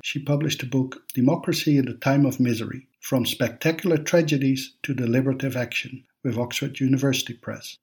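A man hosting a podcast, reading formal introductions and asking questions in a measured way. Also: medium pitch, darkish tone, husky. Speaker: podcast host.

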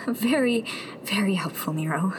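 A woman playing an anxious, sardonic rebel tech in a sci-fi radio drama, halting and breathy with nervous sarcasm. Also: mid-range voice, noisy background. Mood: bleak, weary.

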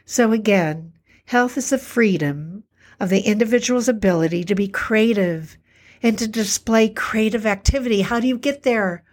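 A middle-aged woman's voice, a touch hoarse, in an earnest solo podcast talk. She speaks at a medium pitch and returns to the same phrases again and again. She slows down on key words to stress them. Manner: earnest, repetitive, slows for emphasis. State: a little hoarse. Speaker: podcast host, alternative health practitioner.

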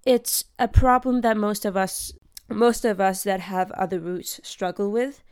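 Young woman from denmark, earnest heartfelt monologue, smooth clear voice. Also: mid-range voice, steady tone, unhurried, a little mellow, no echo, studio quality. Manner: earnest, heartfelt, searching for words. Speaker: podcast host. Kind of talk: personal monologue.

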